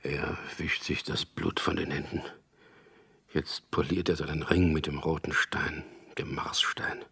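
An actor in a radio drama playing an anguished, bitter condemned man in his fifties, with a gravelly, often breathy voice and intense delivery. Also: extremely low pitch, level tone, a little slow, sharp tone, full character voice. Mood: anguished, haunted. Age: 50s. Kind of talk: radio drama acting, dialogue and intimate inner monologue.

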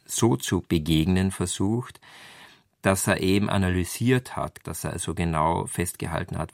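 A man's voice: sits low, gravelly, no echo. A man giving unscripted expert commentary as a literary scholar, explaining and thinking aloud.

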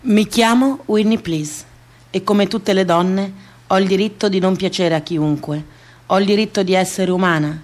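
A woman's voice: medium pitch, natural voice. A woman playing a defiant, self-accepting woman in a theatrical radio monologue, speaking in emphatic, repetitive declamation. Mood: proudly defiant.

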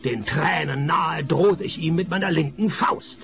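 An actor playing a dry-witted, sardonic private detective who narrates and talks in a comic radio play, with a creaky voice. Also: male voice, fairly high pitch, unhurried, soft background music. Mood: wry narration. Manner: deadpan wit.

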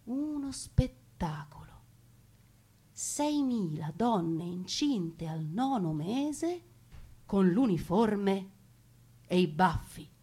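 A woman narrating a scripted memoir as a stage storyteller, expressive and with dramatic pauses. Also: medium pitch, slightly rough. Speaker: theatre actress and storyteller. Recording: music under the voice. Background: music.

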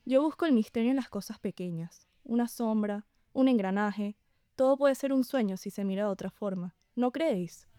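A woman playing a mellow, dreamy painter. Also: fairly high pitch, moderate pace, clean tone, no echo, lightly acted. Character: dreamy, observant woman painter, gently curious. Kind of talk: scripted audio drama dialogue.

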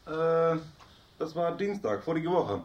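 A friendly older German man who speaks Dutch with a German accent. He hesitates often and slips German words into his speech. His voice is lively and sits a bit high, with some faint hiss behind it. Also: slow pace, lo-fi sound.

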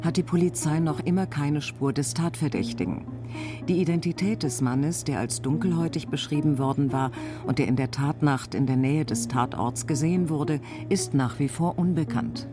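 A woman's voice: sits low, moderate pace, lightly acted. An actress playing a formal female news announcer reading a scripted bulletin in a radio drama. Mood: formal, neutral. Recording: prominent music under the voice.

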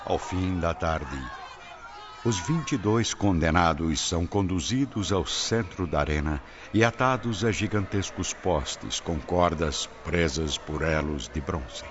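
A Brazilian man giving a grave, measured, dramatic scripted narration for an audio drama. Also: low voice, crowd noise, noisy background. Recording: dull lo-fi audio, loud music behind.

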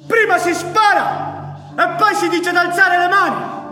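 Male actor as gruff defiant man, high raised voice, grumbling exasperation, radio drama, echoey. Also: fairly even intonation, unhurried, sharp tone, soft background music, full character voice. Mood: exasperated, defiant.

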